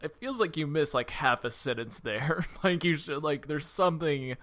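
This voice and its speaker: A man with a bright, forceful voice chats loosely about a film, and he laughs often as he goes. He keeps jumping into quick impressions of the characters. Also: fairly high pitch, expressive. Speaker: podcast co-host. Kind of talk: casual movie review chat.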